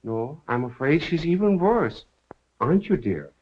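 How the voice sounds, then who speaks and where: An actor playing a suave, teasing amateur detective in an old 1930s film. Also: male voice, fairly high pitch, big pitch swings, unhurried. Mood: glib, bluffing. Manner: glib, teasing. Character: suave, quick-witted male amateur detective, breezily bluffing. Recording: muffled 1930s film soundtrack.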